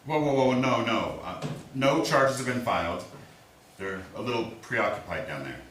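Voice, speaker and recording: A man acting in a staged reading as a steady, apologetic small-town lawman, speaking in a measured, reassuring way. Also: medium pitch, close to an everyday voice. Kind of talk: staged play reading, naturalistic. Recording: echoey room, distant mic, faint hiss.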